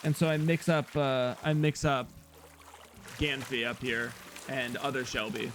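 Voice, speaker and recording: A young man with a loud, slightly hoarse voice, rambling casually to his viewers as a live streamer while he plays a game. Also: mid-range voice, fairly even intonation, unhurried, background noise. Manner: loud, rambling, talks straight to chat. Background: faint guitar music.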